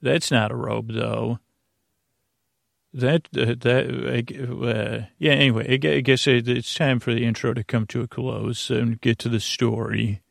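A middle-aged man hosting a bedtime podcast, rambling haltingly through stammered restarts and tangents with gently absurd, self-deprecating humour. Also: medium pitch, steady tone, creaky, no echo, clean studio sound. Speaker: podcast host.

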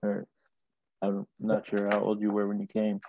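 A man's voice: lowish voice, monotone, normal speed, muffled recording, a little hiss or hum. A man hosting a podcast interview, asking easygoing questions and giving short nods of agreement. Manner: brief affirmations, easygoing questions. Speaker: podcast host. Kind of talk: casual interview.

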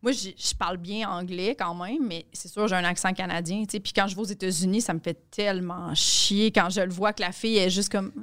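A woman with a Quebec French accent, her pitch right in the middle for a woman. She chats easily as a comedian guest on a podcast and keeps agreeing with quick fillers. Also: fairly even intonation, fairly bright tone. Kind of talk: casual podcast chat.

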